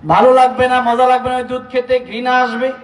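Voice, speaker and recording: Preacher, male, high voice, rhetorical style, distant mic, echoey hall. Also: little pitch movement, a little fast. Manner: rhetorical questions to the crowd, swings between quiet and shouted lines. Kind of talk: sermon. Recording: echoey hall recording from far off.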